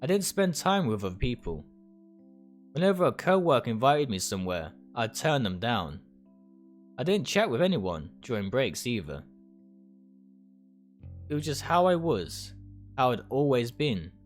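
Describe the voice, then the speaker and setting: A man reading first-person game narration aloud dramatically, in short, deliberate phrases. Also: medium pitch, sing-song. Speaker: game streamer reading a visual novel aloud. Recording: music behind the voice.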